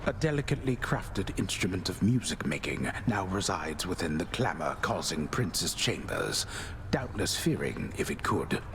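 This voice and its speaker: Male actor as dry hushed narrator, very deep, lively, noisy background. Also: normal speed, few pauses, heavily acted. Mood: wry.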